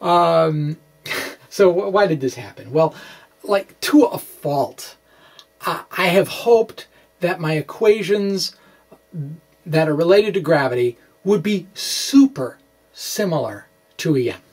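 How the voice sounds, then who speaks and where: A middle-aged man presenting a blog aloud with rambling asides, speaking in a halting, hesitant way and often correcting himself. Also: on the high side, lively intonation. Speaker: physics blogger and vlogger. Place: small indoor room with bookshelves.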